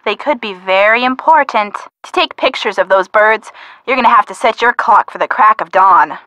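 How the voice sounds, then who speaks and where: A woman's voice: medium pitch, normal speed, bright, forceful tone, dry recording, lo-fi sound, natural voice. A woman voicing a level-headed, no-nonsense young woman, the detective's practical friend, in a video game. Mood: brisk, encouraging. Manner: matter-of-fact, crisp, dryly wry. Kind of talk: video game hint dialogue.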